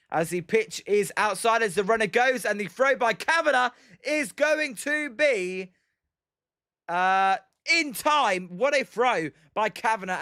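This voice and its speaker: Chatty British man, croaky stream commentator. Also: high-pitched, fairly bright tone, dry recording, clean studio sound. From Britain. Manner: rambling, many "um"s and "as" fillers. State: slightly croaky from daily streaming. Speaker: live-stream baseball commentator. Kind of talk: casual play-by-play with chat replies.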